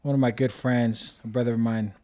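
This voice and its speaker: A man's voice: mid-range voice, level tone, brisk, warm tone. A man hosting a podcast, introducing his guest in a friendly, loose way with lots of fillers. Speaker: podcast host.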